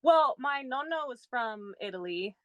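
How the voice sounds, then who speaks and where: A woman's voice: high-pitched, animated, a little slow, a bit bright, dry recording, dull audio. A woman from California, a sailing guest, chatting casually and filling her talk with "like" and "yeah". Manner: chatty, uses "like" and "yeah" a lot. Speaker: guest, sailor. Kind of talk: casual chat.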